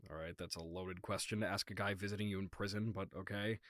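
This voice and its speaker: A man playing himself in a comedy skit, talking casually in a plainspoken, deadpan way. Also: low voice, quick pace, no room sound, studio quality. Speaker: founder of an audio production company, playing himself.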